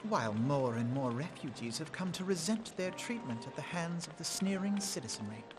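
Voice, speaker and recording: A man voicing an earnest, troubled counsellor in a fantasy video game, speaking formally in measured, archaic phrasing. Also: on the high side, lively intonation, noisy background, close to an everyday voice. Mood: grave, troubled. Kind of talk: scripted video game cutscene acting.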